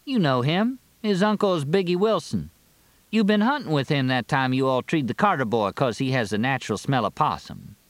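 A man's voice: medium pitch, lively intonation, moderate pace, dry recording, voice pushed up, natural voice. A man voicing a teasing, outspoken country woman with a wry drawl in a story reading. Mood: matter-of-fact.

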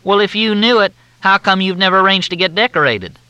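A man's voice: high voice, a little fast, bright, forceful tone, clear, resonant tone, no room sound, dull audio, pitched above the performer's own voice. A man voicing a courteous Southern army major with a thick drawl that makes everything sound like a question. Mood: folksy, chatty.

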